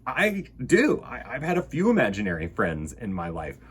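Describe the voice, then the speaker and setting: Male kids' storytime host: lively, swooping delivery, slightly rough-edged voice, direct to young listeners. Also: on the low side, normal speed. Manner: expressive, emphatic, addresses young listeners directly. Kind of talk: animated picture-book reading and chat to children.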